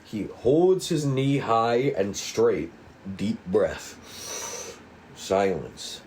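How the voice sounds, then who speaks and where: A man reading a script's stage directions at a table read, casual and halting, with asides. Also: on the low side, big pitch swings, a little mellow, slight background noise, low-quality recording. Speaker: script reader.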